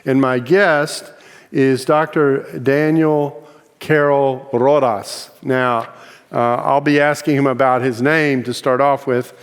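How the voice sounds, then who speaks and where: Smooth-voiced male host in a reverberant room. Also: medium pitch, steady tone, takes it slowly. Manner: friendly, rambling, many fillers and restarts. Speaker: interview host. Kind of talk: hosting a video interview.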